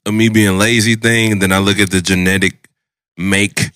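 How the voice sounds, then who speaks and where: A young man chats loosely on a podcast, slangy and emphatic. His voice sits low, and it comes across smooth and clear. The room sounds dry and close. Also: level tone, normal speed, fairly bright tone, no room sound. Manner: laid-back, slangy, emphatic. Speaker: podcast co-host. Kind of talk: casual group chat.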